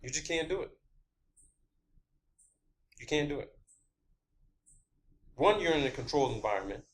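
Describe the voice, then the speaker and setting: A man's voice: a little high, animated, moderate pace. A man hosting his own video channel, talking off the cuff in an emphatic, explanatory monologue and repeating phrases for stress.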